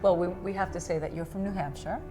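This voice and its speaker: A woman's voice: fairly low pitch, fairly even intonation, quick pace, over music. A woman hosting a TV interview at a guest's home, asking admiring, leading questions. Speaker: TV interview host.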